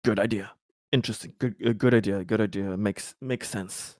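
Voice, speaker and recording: A man playing a tabletop role-playing game, talking casually in character and hesitantly repeating words as he deliberates. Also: fairly low pitch, steady tone, a little mellow, dry recording, studio quality.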